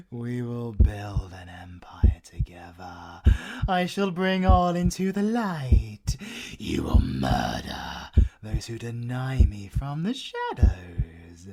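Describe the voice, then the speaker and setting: A sadistic British werewolf villain for an audio roleplay. His delivery is very slow and savoring, lurching across a huge range of pitch from hushed whispers to manic glee. He is possessive and theatrical, relishing every endearment. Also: gravelly, exaggerated voice. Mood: sinister, hushed. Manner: theatrical, purring endearments, breaking into laughter and whispers.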